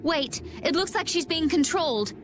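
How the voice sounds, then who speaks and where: High-voiced, composed young warrior. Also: female voice, lively intonation, normal speed, music in the background. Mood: alert. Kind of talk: video game voice acting.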